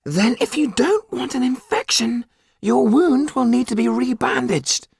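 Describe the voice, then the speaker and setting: Audiobook role: small, weary, urgent older fellow; croaky high voice, raspy edge, unhurried. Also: male voice, lively intonation, no room sound, clean studio sound, full character voice. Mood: grave, instructive. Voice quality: high, croaky, husky squeak.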